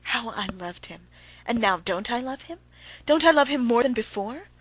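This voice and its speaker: A woman's voice: a little high, lively intonation, moderate pace, breathy edge, no room sound, voice pushed up, rough recording. A woman voicing an anguished, betrayed wife who cries out in rising, heated protest. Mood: grieving.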